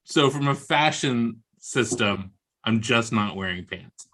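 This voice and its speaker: A man's voice: mid-range voice, animated, slow, a bit bright. An American man speaking casually as a guest author in a video interview, with a wry, comically exaggerating and self-deprecating manner. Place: indoors on a video call. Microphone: video call.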